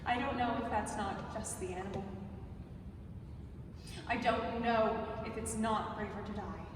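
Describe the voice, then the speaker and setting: A woman performing a dramatic monologue as an anguished, defiant, gravely ill thirty-year-old, with impassioned outcries and hushed pleading in a live staged reading. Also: medium pitch, unhurried, natural voice. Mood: anguished, resolute. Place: large reverberant museum space, live, distant mic. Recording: distant, noisy, very echoey museum hall.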